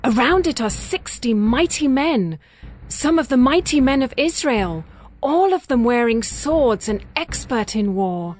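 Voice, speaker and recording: An actress playing a tender, devoted young bride in a dramatized scripture reading, with measured, poetic delivery. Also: female voice, on the high side, animated, slight background noise. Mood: awed.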